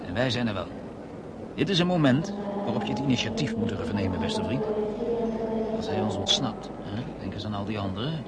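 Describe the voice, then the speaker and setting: An actor playing a cold security service agent in a radio drama, giving clipped orders with icy politeness. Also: male voice, on the low side, lively intonation, fairly quick. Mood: urgent, persuasive. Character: cold, commanding male security service agent making an arrest. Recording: very noisy radio play recording.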